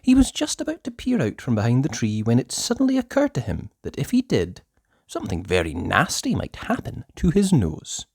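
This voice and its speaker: A storyteller reading a children's book aloud in a theatrical, deliberate way, with emphatic words and hushed passages. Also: mid-range voice, wildly swooping pitch, gravelly, breathy edge, dry recording, clean studio sound. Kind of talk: expressive children's story narration.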